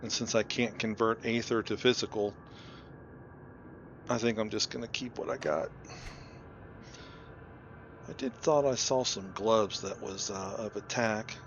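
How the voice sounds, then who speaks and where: A man's voice: lowish voice, steady tone, a little slow, long pauses, lo-fi sound, slight background noise. A man giving casual gameplay commentary as host of a playthrough, talking informally and thinking aloud. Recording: loud music behind the voice. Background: game music.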